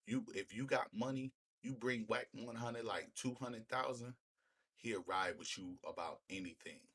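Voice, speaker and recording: A man's voice: mid-range voice, unhurried, fairly bright tone, slightly rough. A man giving opinionated street-talk commentary in an emphatic, repetitive way, often using rhetorical questions. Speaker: commentator hosting a reaction video.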